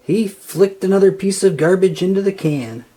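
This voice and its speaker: A storybook reader narrating a children's tale in an expressive, scripted style. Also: medium pitch, normal speed, slightly rough.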